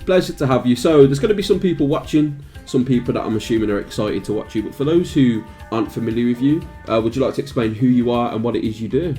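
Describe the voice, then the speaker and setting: A man's voice: mid-range voice, fast, hardly any pauses, dark tone, soft background music. A man hosting a casual interview, friendly and upbeat, often calling his guest "man" or "mate". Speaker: interview host.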